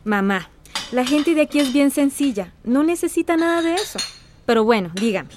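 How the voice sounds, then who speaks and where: An actress playing a sensible, patient grown daughter from a small town in a radio soap, with an earnest, gently protesting manner. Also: female voice, high-pitched, animated, moderate pace, slight background noise. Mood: earnest, persuading. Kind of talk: radio soap opera acting.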